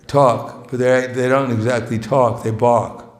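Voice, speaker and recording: Brief: middle-pitched male preacher, wry and chuckling, casual talk, roomy temple echo. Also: fairly even intonation, unhurried. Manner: joking, chuckles often between phrases; plainspoken, self-mocking. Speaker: visiting monk giving a talk. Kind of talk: informal sermon. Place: temple hall. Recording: echoey hall.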